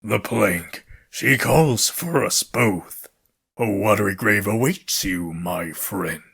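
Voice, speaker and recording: Gravelly old pirate fox. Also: male voice, fairly low pitch, takes it slowly, fairly bright tone, exaggerated voice. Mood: menacing, theatrical. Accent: stage pirate. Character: menacing old pirate fox animatronic, grimly theatrical. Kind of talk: video game character voice lines.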